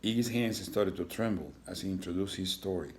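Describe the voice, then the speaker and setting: A man narrating a children's storybook aloud, reading with occasional halting stumbles. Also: low voice, a little hiss or hum. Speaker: storybook reader.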